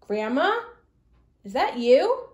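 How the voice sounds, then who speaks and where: A woman's voice: sits high, big pitch swings, slow pace, darkish tone, roomy sound, dull audio, exaggerated voice. A woman voicing a polite, plucky little cowgirl with an earnest manner in a children's story. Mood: uneasy.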